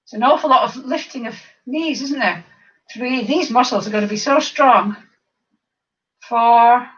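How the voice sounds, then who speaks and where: A woman over fifty with a croaky voice, leading a seated exercise class, counting reps and adding chatty asides. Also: a little high, measured pace. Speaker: fitness instructor. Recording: distant mic, dull lo-fi sound.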